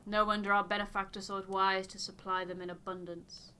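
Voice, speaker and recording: A woman's voice: mid-range voice, level tone, fairly quick, sharp tone, slight background noise, lightly acted. A woman voicing a stiff, aloof male scientist in a game, speaking in a formal, clipped, precise way. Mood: haughty, musing.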